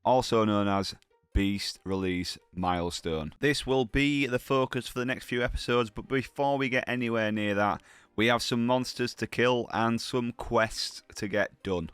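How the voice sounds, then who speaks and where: A man's voice: medium pitch, measured pace, fairly bright tone, clear, resonant tone, no echo. A man with a heavy cold, talking through his gameplay in a chatty, upbeat way, full of slang. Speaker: gaming channel host. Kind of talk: gameplay commentary.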